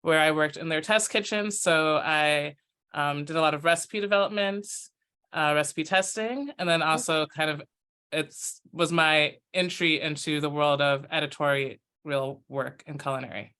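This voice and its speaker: An American woman, a chef and cookbook author, answering interview questions in a thoughtful, measured way over a video call. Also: low-pitched, sharp tone, clear, resonant tone. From United States.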